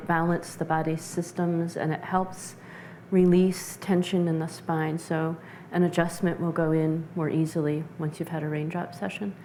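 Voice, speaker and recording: Mellow, low-voiced woman explaining. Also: slight background noise. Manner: measured, halting, searching for words. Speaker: interview guest, essential oils practitioner. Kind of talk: explanatory interview answers.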